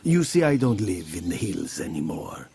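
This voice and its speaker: A man's voice: deep voice, big pitch swings, moderate pace, dark tone, breathy edge, exaggerated voice. An actor playing an elderly, wealthy Italian man who tells his tale in a formal, theatrical manner. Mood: confiding. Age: older. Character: elderly Italian man, wealthy former mayor, uneasy storyteller. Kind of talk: dramatic first-person storytelling.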